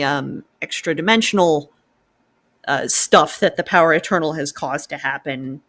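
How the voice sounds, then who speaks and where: Woman guest, unhurried pace. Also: on the low side, a bit bright. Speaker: guest fan writer. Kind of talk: enthusiastic discussion.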